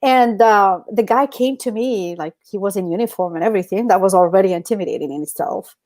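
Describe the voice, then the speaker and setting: A middle-aged woman, speaking English as a later language and telling stories in an expressive, informal way as a podcast co-host. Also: medium pitch, a little fast. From Algeria. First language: not English. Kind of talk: casual conversational storytelling.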